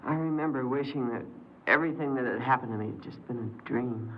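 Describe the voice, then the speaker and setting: An actor playing a frightened, remorseful fifteen-year-old runaway boy in a 1950s radio crime drama, speaking haltingly. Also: medium pitch, muffled recording, a little hiss or hum, natural voice. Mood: subdued, halting. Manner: hesitant, halting, stammering.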